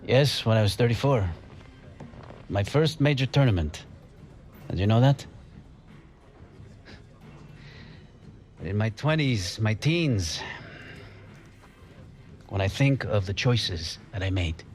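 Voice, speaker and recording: An actor playing an earnest, reflective middle-aged football coach, giving measured speeches in a game cutscene. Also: male voice, lowish voice, lively intonation, darkish tone. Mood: reflective, solemn.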